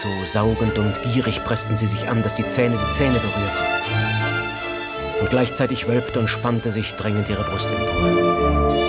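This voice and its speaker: A man giving an intense, emphatic literary narration in a radio drama. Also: on the low side. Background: prominent music.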